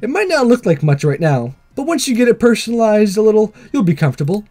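Visual novel schoolgirl, played by a man, girlish and perky, high animated voice, breezy and forward. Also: quick pace. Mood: friendly, upbeat. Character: perky, forward, sporty anime schoolgirl, teenage.

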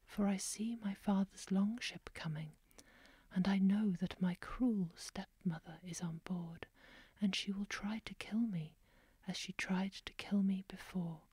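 A woman softly whispering the lines of a frightened young princess. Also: mid-range voice, steady tone, measured pace, husky, dry recording. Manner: whispered, pleading.

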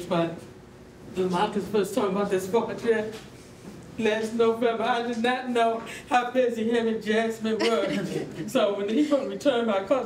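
A middle-aged woman giving an informal, heartfelt and folksy speech from among an audience in a hall. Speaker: proud mother speaking from the audience. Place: indoor rehearsal hall, standing among audience. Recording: echoey hall, distant mic, faint rumbly noise.

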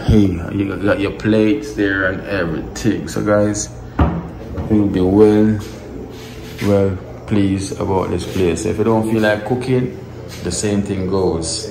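A man's voice: low-pitched, slow, background noise. A man giving a casual walkthrough of a guest villa, talking straight to his viewers. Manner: relaxed, repeats himself, speaks to viewers as guys. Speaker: vlogger touring a guest villa.

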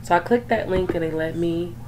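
A young woman, hoarse from being sick, chatting in a rambling, casual way with lots of fillers while talking over a screen recording. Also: on the low side, brisk. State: hoarse from illness. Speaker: gaming YouTuber. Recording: faint background noise.

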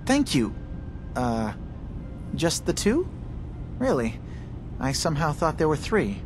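A man voicing a nervous, evasive, mild-mannered scientist in a video game, speaking hesitantly and haltingly. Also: fairly high pitch, huge pitch swings, noisy background, exaggerated voice. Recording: music behind the voice.

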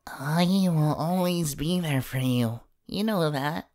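A woman voicing a gentle, awkward, encouraging young male superhero in an ASMR comfort role-play, speaking haltingly and at times in a whisper. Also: low-pitched. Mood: tender, sincere. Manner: hesitant, halting, partly whispered.

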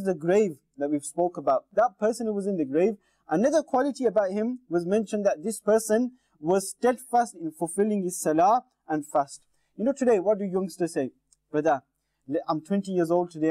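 A man in his thirties preaches earnestly to the camera, his voice sitting fairly high yet sounding mellow and warm. He urges his listeners directly, seated at a studio desk with a lapel mic. The sound is dry and close, with no room echo. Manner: earnest exhortation, addresses viewers directly. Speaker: Islamic preacher. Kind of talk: sermon to camera. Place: indoor TV studio with painted backdrop.

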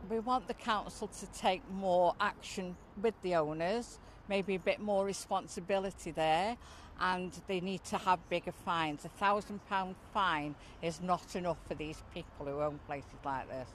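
Middle-aged woman, campaigner, interview, lower pitch, outdoors, slight traffic noise. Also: measured pace. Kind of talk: news interview. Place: outdoors in an overgrown plot.

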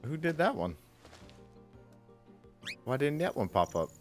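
Irreverent male livestream co-host, mid-range pitch, casual gamer banter, faint music bed. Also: very animated, unhurried. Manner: irreverent, sweary. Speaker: game streamer co-host. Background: game music.

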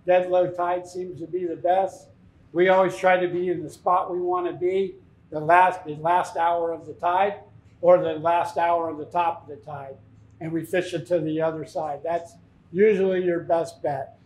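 A man's voice: fairly high pitch, little pitch movement, moderate pace, darkish tone. A man in his fifties giving an informal, plain-spoken seminar talk as a fishing captain, telling anecdotes. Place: tackle shop, indoors, speaking to an audience. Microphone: lapel mic. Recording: echoey shop room.

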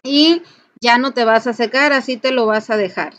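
A woman's voice: a little high, moderate pace, fairly bright tone. A woman with a Mexican accent giving an informal spoken tutorial, instructing the listener directly and with emphasis. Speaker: folk ritual practitioner and channel host. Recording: dull, lo-fi voice recording.

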